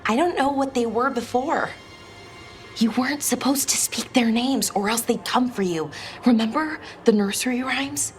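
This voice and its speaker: A woman's voice: a little high, normal speed, faint music behind, natural voice. An actress playing a curious, awkward young woman scholar in a fantasy game, chatting hesitantly as she thinks aloud. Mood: uneasy, earnest.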